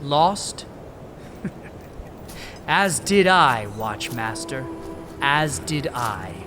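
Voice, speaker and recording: An actor playing a bitter, accusing knight captain who sneers theatrically in a fantasy audio drama. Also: male voice, mid-range voice, animated, drawn-out words, over music. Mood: cold contempt.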